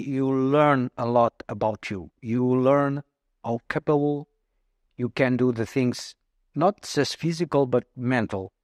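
A man in his early fifties from Portugal, a native Portuguese speaker, answering interview questions in halting English with many pauses and fillers. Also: mid-range voice, dry recording. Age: 50s. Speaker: guest.

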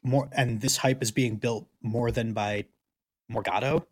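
A man's voice: medium pitch, steady tone, a little slow, dry recording. A man chatting casually as a panelist on a podcast. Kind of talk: casual chat.

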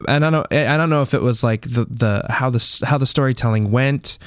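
A man's voice: mid-range voice, a little fast, clear, resonant tone, dry recording, clean studio sound. A man speaking casually as a guest on a podcast.

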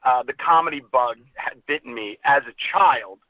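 A man from the US Midwest, a guest who tells stories in a friendly way and pauses on many uhs. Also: medium pitch, expressive. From Ohio, US Midwest. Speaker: guest, comedy performer and co-founder. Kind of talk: interview conversation. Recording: dry, tinny phone line.

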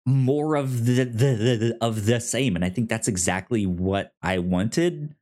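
Halting, enthusiastic male podcast co-host. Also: medium pitch, darkish tone. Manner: halting, repeats words, stammering starts. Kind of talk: casual movie discussion.

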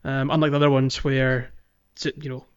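A man's voice: mid-range voice, flat delivery, a little fast, smooth, dry recording. A man chatting casually and jokily as a gaming podcast co-host. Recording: slightly dull, lo-fi microphone.

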